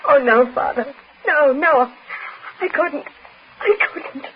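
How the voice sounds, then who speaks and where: A woman's voice: high voice, takes it slowly, a little hiss or hum. An actress playing a genteel, distressed woman of a middle-class household, fretful and melodramatic, in 1940s radio style. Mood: anguished. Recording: muffled 1940s radio recording, music.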